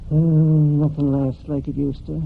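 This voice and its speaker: A grudging country skinflint in an old radio drama. His rural American voice is highish and gravelly, full of folksy grumbling, heard through a tinny vintage recording. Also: little pitch movement, measured pace, heavy background noise. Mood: grumbling, evasive. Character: grudging, tightfisted eldest brother of a rural Maine family. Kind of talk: 1940s radio drama acting. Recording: tinny 1949 radio recording, music behind.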